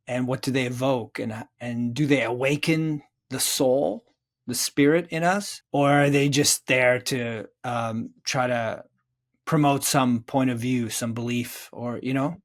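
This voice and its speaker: A middle-aged man from Czechoslovakia speaking as an interview guest, earnest and reflective, haltingly building lists and rhetorical questions. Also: mid-range voice. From Czechoslovakia, moved to North America. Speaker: interview guest, psychotherapist and author.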